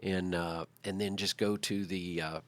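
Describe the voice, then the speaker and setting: Low-voiced middle-aged man chatting informally. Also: steady tone, measured pace, a bit bright. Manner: folksy, conversational, informal. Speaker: talk show participant. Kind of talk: casual chat.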